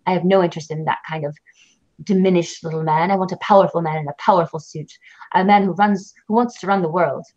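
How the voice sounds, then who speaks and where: A woman's voice: on the low side, fairly even intonation, quick pace, darkish tone, natural voice. A woman voicing a cold, ambitious lawyer wife who speaks in a condescending, wordy way. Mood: contemptuous. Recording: dull lo-fi recording.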